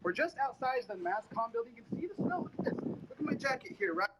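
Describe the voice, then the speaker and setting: A young man giving an informal, excited live weather report outdoors as a student TV reporter. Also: very high-pitched, fast talker. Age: young adult. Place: outdoors outside a campus building. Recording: noisy outdoor field audio.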